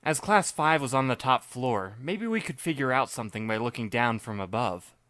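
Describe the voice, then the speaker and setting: A young man narrating in the first person, his mid-pitched voice dry and wry, with understated asides. The sound is close and dead, with no room behind it. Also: expressive, moderate pace, a bit bright, no echo. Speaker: narrator and lead reader.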